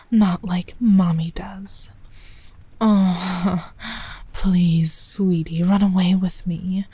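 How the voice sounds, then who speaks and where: A doting, possessive mother dragon, voiced by a woman who talks in a hushed, breathy whisper, slow and unhurried with long pauses. Her tone is low and warm. The sound is dry and close, with no room around it. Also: dry recording, exaggerated voice. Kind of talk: intimate audio roleplay.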